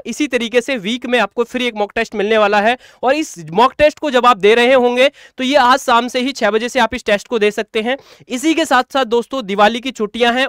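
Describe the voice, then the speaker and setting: A man's voice: very high voice, a bit bright, smooth, no echo, studio quality. A man giving a step-by-step screen tutorial as a coaching presenter, repeating himself as he instructs viewers. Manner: repetitive, instructional, addresses viewers as friends.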